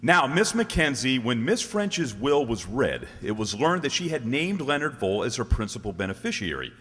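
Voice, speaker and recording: An actor as a formal male prosecutor questions deliberately in radio-drama style, his mid-range voice rising and falling widely. The sound rings through a large hall. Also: medium pitch, big pitch swings, fairly bright tone, slight background noise. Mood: probing. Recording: long reverb of a hall.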